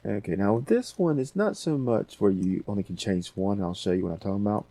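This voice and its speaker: Deep mellow guy walking through audio software, kinda husky, informal, thinking out loud. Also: expressive, a little fast, no room sound. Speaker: audio editing tutorial host. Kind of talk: casual screen-recorded software tutorial.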